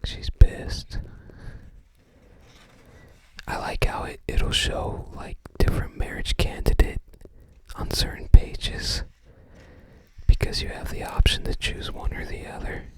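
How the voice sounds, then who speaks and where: A person whispering softly and breathily in an ASMR style, musing unhurriedly in unscripted commentary. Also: poor audio. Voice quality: soft breathy whisper, unvoiced. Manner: unhurried, musing, tentative recall. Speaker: ASMR video host. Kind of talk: ASMR, unscripted whispered commentary while browsing a game guide book.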